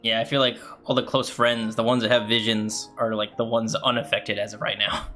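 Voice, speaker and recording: A man's voice: on the low side, flat delivery, brisk, sharp tone. A man giving casual, rambling, laid-back commentary as he streams a game. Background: game music.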